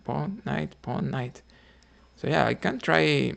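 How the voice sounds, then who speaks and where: A man from Cuba, streaming his chess games and quietly thinking his moves out loud. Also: fairly high pitch, expressive, slow pace, gravelly. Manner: thinking aloud, muttering moves quietly, hesitant.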